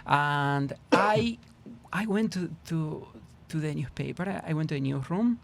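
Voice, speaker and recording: A middle-aged man from Argentina speaks with an Argentine Spanish accent and a gravelly edge to his voice. He talks thoughtfully, often restarting and repeating words as he searches for the phrasing. Faint noise hums behind him. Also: on the high side, animated, measured pace, a bit bright. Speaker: guest trauma therapist. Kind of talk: podcast interview.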